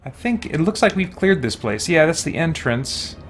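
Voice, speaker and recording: A man's voice: fairly high pitch, sing-song, moderate pace, slight background noise. A man hosting a casual game livestream, rambling and thinking aloud as he chats with viewers. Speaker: game streamer, host. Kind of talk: casual livestream gameplay chat, reading viewer comments. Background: background music.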